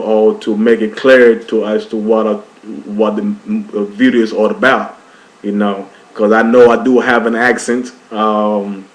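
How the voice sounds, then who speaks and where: Low, flat-voiced man rambling on webcam. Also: poor audio. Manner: rambling, many fillers and restarts. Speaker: channel host. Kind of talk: casual webcam monologue.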